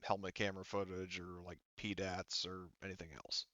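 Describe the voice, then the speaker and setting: A man narrates a tabletop game casually as game master. His voice is low and clean, halting and full of self-corrections. The sound is dry and a little dull. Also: completely flat delivery, sharp tone, no echo. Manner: halting, self-correcting, heavy filler use.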